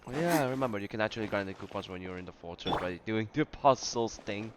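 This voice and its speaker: A man's voice: fairly low pitch, animated, quick pace, background noise. A man giving casual, hesitant commentary as he streams a game playthrough. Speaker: gaming streamer. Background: game music.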